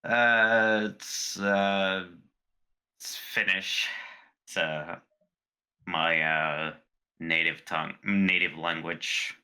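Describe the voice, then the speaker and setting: A man playing a tabletop roleplaying game, speaking in character with hesitant pauses and many fillers. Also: deep voice, fairly even intonation, bright, forceful tone, clean tone, low-quality recording. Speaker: player.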